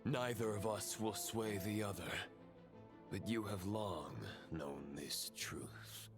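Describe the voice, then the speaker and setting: An actor playing a grave, coldly convinced fallen knight phantom in a video game, speaking in measured, formal phrases. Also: male voice, lowish voice, music in the background. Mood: solemn, grave. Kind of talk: dramatic video game acting.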